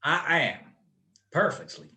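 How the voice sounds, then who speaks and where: A man's voice: a little high, expressive, takes it slowly, pushed and bright, full character voice. A man acting a smug, cold-blooded criminal mastermind in a radio play, with precise, mocking, grandiloquent speech. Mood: commanding, pleased.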